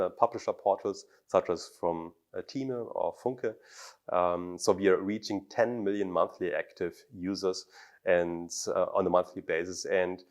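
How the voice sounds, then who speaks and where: A man's voice: low voice, a little mellow. A man in his thirties from Germany answers interview questions to camera as a startup founder, feeling his way through sentences with repeated words. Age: 30s. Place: office meeting room indoors. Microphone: lapel mic.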